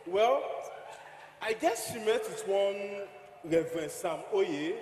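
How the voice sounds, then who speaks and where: A man acts out a polite, deferential office messenger in a stage play. His voice is on the high side and comes from far off in a reverberant hall, with audience noise around it. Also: animated, takes it slowly, crowd noise. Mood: respectful. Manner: deferential, addresses others as sir and ma. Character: polite male office messenger bearing good news. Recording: distant mic in echoey hall, audience noise.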